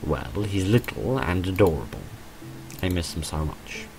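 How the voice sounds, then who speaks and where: A man's voice: low-pitched, animated, moderate pace, gravelly, darkish tone, faint music behind, low-quality recording. A man voicing a sweet, earnest and apologetic young woman in a visual novel. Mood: wistful. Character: Jackie, sweet apologetic young woman seeking her lost dog.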